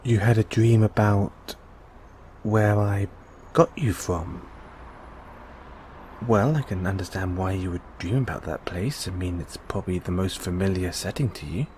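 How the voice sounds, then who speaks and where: A man playing a gentle, caring android owner in an intimate one-sided audio roleplay, coaxing and inquisitive, with long pauses. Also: low-pitched, a little hiss or hum. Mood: curious.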